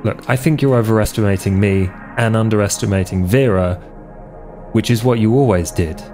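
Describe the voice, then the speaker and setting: A man plays a drifting vampire in a tabletop game, tired and defiant. His voice is fairly low and blunt, coming out in halting, exasperated phrases over faint music. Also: dark tone, close to an everyday voice. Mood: defensive. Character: weary, defiant male vampire wanderer. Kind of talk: improvised tabletop roleplay acting.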